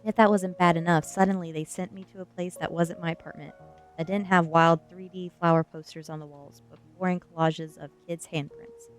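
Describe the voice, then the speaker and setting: Low-voiced woman reading a story aloud. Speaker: streamer reading a novel aloud. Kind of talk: ASMR reading, first-person storybook narration.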